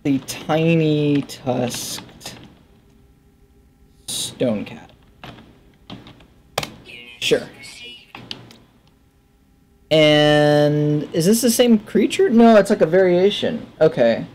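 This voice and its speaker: A man in his thirties giving casual game commentary, thinking aloud in a halting, musing, bemused way into a headset mic. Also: a little high, expressive, darkish tone, slight background noise. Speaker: gaming video host. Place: indoor room at a desk.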